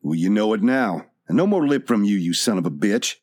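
Storybook bully prospector, male, done by a male reader: hot-tempered, slangy, sneering, animated delivery. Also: on the low side, brisk. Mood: belligerent, threatening.

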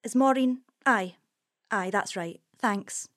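A harried young policewoman, voiced by a male narrator, lifted well above his own voice and quick with apologies. The read is dry and close, a storybook reading. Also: high-pitched, a bit bright, no room sound, voice pushed up. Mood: flustered. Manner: apologetic, hurried. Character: flustered young woman police officer.

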